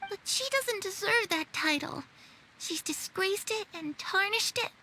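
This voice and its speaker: A woman's voice: very high voice, expressive, unhurried, sharp tone, a little hiss or hum, exaggerated voice, rough recording. A woman playing a disillusioned, secretive young girl in a video game, speaking with wistful, bitter resignation. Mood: resentful.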